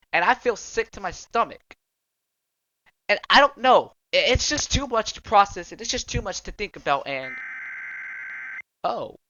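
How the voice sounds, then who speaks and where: A man voicing a panicked news reporter in an amateur drama, agitated, repetitive and halting, on a dull, dry recording. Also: high-pitched, bright, forceful tone. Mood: shaken, overwhelmed. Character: panicked male TV news reporter covering a vampire outbreak.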